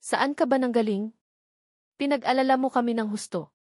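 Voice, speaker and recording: A woman's voice: a little high, normal speed, darkish tone, no room sound, studio quality, natural voice. Woman voicing an emotional, heartfelt young mother. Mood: tearful relief.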